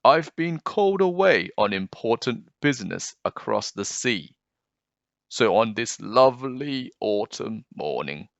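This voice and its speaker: A man's voice: lowish voice, vocal fry, a bit bright, full character voice. A man voicing an eager, confident inventor friend in a children's storybook, speaking out emphatically. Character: Leon, eager tinkering inventor friend, hollering encouragement. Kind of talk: children's storybook read-aloud. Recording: dull, roomy home recording.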